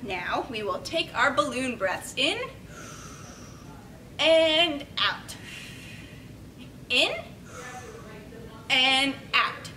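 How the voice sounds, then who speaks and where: A young woman with a bright, fairly high voice guides small children through yoga poses. She gives simple, encouraging directions while demonstrating. She is recorded from across the room with a low rumbly noise behind her. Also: expressive, extremely slow, long pauses. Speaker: children's yoga storytime instructor. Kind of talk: instructional talk for young children. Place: small indoor room with padded steps. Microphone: camera several metres away. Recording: distant camera mic, rumbly background noise.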